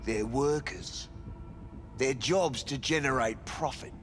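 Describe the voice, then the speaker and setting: A sleazy cyberpunk brothel manager in a game, snide and crude, cynical as hell, music underneath. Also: male voice, medium pitch, takes it slowly, fairly bright tone. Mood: guarded, scornful. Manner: snide, slangy, crude and dismissive. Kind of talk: video game dialogue acting.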